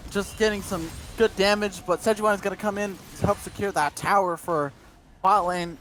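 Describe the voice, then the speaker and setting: Male play-by-play caster, high voice, breathless rapid calls, light background noise. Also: steady tone, a bit bright. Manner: rapid breathless calls, tripping over words. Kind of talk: esports play-by-play commentary.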